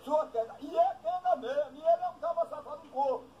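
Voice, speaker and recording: A man playing a shrill cranky old backcountry man. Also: dull audio, slight background noise. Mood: cursing. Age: older. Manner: shrill, insulting, quick to anger. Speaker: comic character. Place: outdoors at a rural country house.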